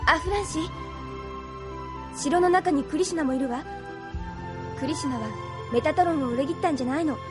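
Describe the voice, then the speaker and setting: A woman's voice: high voice, fairly quick, long pauses, natural voice. A woman playing a tender, devoted young woman in love in an anime audio drama, with emotive delivery. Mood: pleading. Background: background music.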